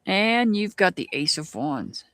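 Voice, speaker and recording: A tarot reader with a Southern US accent, giving live-stream readings and answering chat in a slow, musing way with frequent restarts. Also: medium pitch, very animated, fairly bright tone, no room sound.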